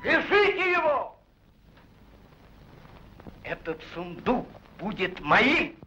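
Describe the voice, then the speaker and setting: An actor playing a scheming rich man posing as a beaten old man, with theatrical, drawn-out delivery in a Soviet cartoon. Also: sits high, sing-song, sharp tone, slight background noise, exaggerated voice. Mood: gloating. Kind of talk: Soviet animated film acting. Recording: dull old film soundtrack with music.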